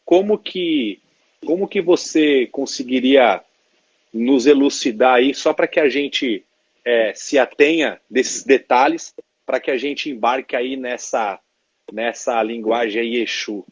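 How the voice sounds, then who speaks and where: Slow, halting man on dull stream audio. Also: mid-range voice, husky, dry recording. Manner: hesitant, halting questions with fillers. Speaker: live stream host. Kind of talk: interview questions.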